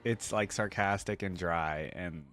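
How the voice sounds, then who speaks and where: A man's voice: sits low, measured pace, fairly bright tone. A man hosting a reaction video, giving casual fan commentary. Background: music.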